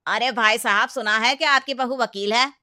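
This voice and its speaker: A woman voicing a blunt but respectful male village council elder in a comedy story, earnest and gently mocking. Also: a little high, moderate pace, no echo, studio quality.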